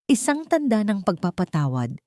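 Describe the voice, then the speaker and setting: A woman with a Filipino accent narrating a scripted dramatic story in a measured, emotive way. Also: mid-range voice, sing-song, a little mellow, no echo, clean studio sound.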